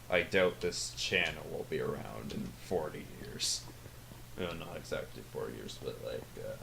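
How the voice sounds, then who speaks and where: Deep-voiced teenage boy, rambling. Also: noisy background. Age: teens. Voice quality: deep, sounds older than his age. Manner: rambling, hesitant, lots of fillers and self-corrections. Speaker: gaming channel host. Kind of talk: casual gameplay commentary.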